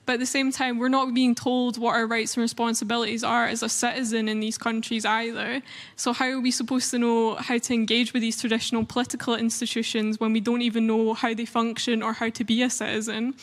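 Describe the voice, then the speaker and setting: Young Scottish woman, flat earnest delivery. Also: fairly high pitch, a little fast, long reverb tail. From Scotland. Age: young adult. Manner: earnest, frequent "um" and "like" fillers. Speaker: panel speaker, youth activist. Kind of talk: panel discussion remarks.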